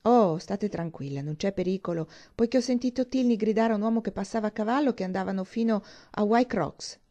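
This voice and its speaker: A woman voicing a brash, boastful young gentleman in an audiobook, speaking in a bossy, emphatic way. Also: medium pitch, fairly quick, close to an everyday voice. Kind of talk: audiobook character dialogue.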